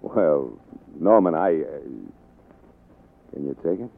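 Deep-voiced, kindly old-radio producer. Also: male voice, exaggerated voice. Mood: reluctant, grave. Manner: halting, deliberate, softening blunt words. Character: frank but kindly male film producer friend. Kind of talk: 1940s radio drama acting. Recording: muffled 1940s radio broadcast recording.

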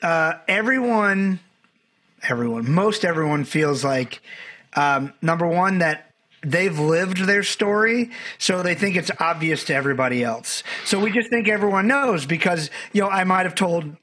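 A man's voice: sits high, expressive, moderate pace, bright, forceful tone. Rambling storyteller man from Barbados. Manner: rambling, self-correcting storyteller. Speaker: podcast guest, documentary filmmaker. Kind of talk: conversational podcast interview.

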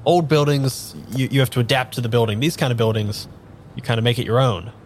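Man playing an eager, halting amnesiac guy. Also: mid-range voice, steady tone, a little hiss or hum, lightly acted. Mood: quietly admiring. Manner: halting, repeats himself. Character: eager amnesiac man, pushy, boyishly enthusiastic tarot querent. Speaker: actor in improvised audio drama. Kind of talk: naturalistic improvised radio drama.

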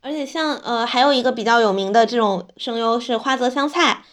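A woman's voice: on the high side, brisk. A woman co-hosting a podcast, talking casually and informatively in conversation. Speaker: podcast co-host. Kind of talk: casual chat about fan culture.

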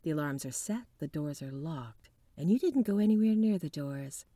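A woman's voice: low voice, expressive, normal speed, mellow. A woman playing a no-nonsense, matter-of-fact occult detective in a 1940s noir radio drama. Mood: stern, interrogating. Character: brisk, no-nonsense female detective with occult know-how.

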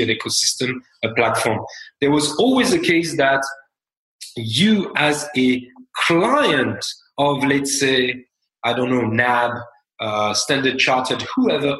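A man in his forties from France with a French accent, talking as a founder guest in an interview in a measured, reflective way. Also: mid-range voice, fairly bright tone, roomy sound, rough recording. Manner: measured, reflective, many fillers and restarts. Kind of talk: interview conversation.

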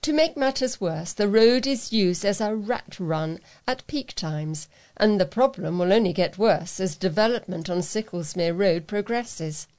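A woman's voice: medium pitch, animated, a little slow, few pauses, darkish tone. Woman reading local news aloud. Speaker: volunteer local news reader. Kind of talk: read-aloud local newspaper report.

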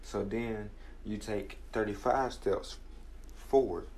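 Young man, early twenties, earnest motivational talker, slow deliberate delivery, lowish voice. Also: steady tone, darkish tone, slight background noise. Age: 20s. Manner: earnest, measured, reflective. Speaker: podcast host. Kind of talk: motivational personal talk.

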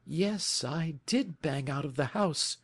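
Eager, talkative young woman client, voice pitched up high, read by a man. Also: lively intonation, slow, a bit bright, heavily acted. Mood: earnest.

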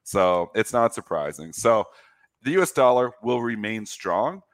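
Canadian guy, low voice, rambling co-host, dry close mic. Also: expressive, measured pace, dry recording. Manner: rambling, self-deprecating. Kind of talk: casual market chat.

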